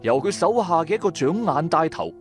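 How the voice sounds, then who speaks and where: A man's voice: on the high side, animated, fairly quick, soft background music. A man with a Cantonese accent narrating a scripted first-person audio drama in a dramatic storytelling style.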